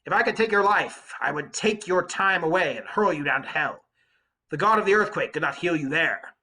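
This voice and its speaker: A man acts out a proud warrior captain from an epic read aloud. He declaims boastfully and defiantly, pitched up and forceful, with a rough edge to the voice. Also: animated, normal speed, exaggerated voice, rough recording. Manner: loud, boastful, declaiming shouts. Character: proud, defiant Greek warrior captain taunting his enemy. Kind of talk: dramatic storybook reading of epic verse.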